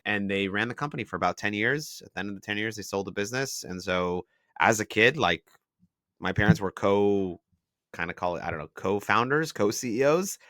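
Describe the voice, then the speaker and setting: A man from Israel and the Bay Area tells his startup story in a relaxed interview, leaning on "like" as he explains. His voice is low and bright, with a forceful edge. The recording is dry and close. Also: dry recording. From Israel and the San Francisco Bay Area. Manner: explains things with examples, frequent "like" fillers. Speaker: startup founder, guest.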